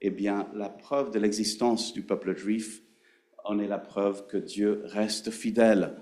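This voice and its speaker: A man preaching a sermon in a conversational, halting way, with frequent fillers. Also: on the low side, steady tone, a little mellow.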